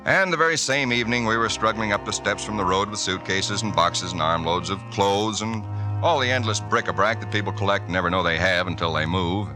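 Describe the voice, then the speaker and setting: An actor playing a tired, down-to-earth young husband who also narrates, in 1940s radio-drama style. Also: male voice, low-pitched, lightly acted. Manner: plain, matter-of-fact storytelling. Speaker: narrator and lead character.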